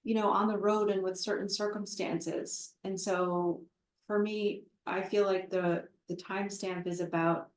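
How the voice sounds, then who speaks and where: A woman translator answering questions at a live book talk, thoughtful and halting, with many fillers and restarts. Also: medium pitch, little pitch movement. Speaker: literary translator, interview guest. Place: event room at a literary center. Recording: roomy echo, voice from far off the mic.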